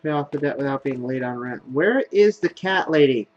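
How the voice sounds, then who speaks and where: A man's voice: medium pitch, lively intonation, brisk, a little mellow, dull audio. A man giving casual, rambling commentary as he plays through a video game. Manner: rambling, offhand. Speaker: gaming video host. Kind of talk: casual let's play commentary.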